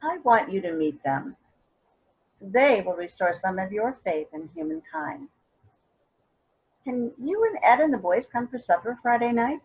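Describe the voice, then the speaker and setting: A woman playing a warm, idealistic mother in her eighties in a readers theater scene. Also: on the high side, animated, a little slow, vocal fry, pauses often, breathy edge, full character voice. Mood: warm, hopeful. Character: warm, idealistic eighty-three-year-old mother taking up a humanitarian mission. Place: at home on a video call. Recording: dull, noisy home video call.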